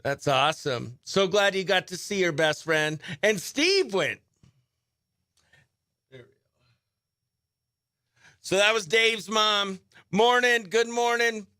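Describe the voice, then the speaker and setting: A man's voice: high-pitched, expressive, takes it slowly, long pauses, pushed and bright, smooth, no room sound, studio quality. A man chatting as a morning radio show host, upbeat and talkative, sometimes muttering to himself as he works. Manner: upbeat, chatty, mutters to self while working. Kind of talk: behind-the-scenes radio chat. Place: radio studio.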